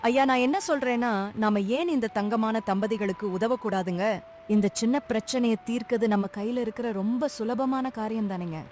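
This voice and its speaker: A woman's voice: a little high, normal speed, darkish tone, slight background noise, natural voice. A woman acting a compassionate wife in a story drama, earnest and urging. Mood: earnest concern.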